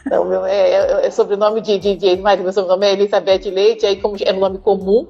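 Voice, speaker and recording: A woman's voice: medium pitch, flat delivery, brisk, creaky, mellow, lo-fi sound. A middle-aged woman with a São Paulo Brazilian accent, telling her own story informally as an interview guest, often ending phrases with a checking tag. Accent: São Paulo Brazilian Portuguese. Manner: frequent "né?" tags, informal. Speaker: podcast guest, diversity speaker. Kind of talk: conversational personal storytelling in an interview.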